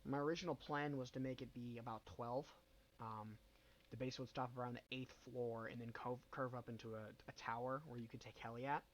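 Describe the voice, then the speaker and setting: A man's voice: mid-range voice, steady tone, brisk. A young man with a bad cold hosting a casual game walkthrough, rambling and hesitant with many ums and self-corrections. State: nasal from a cold. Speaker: gaming channel host.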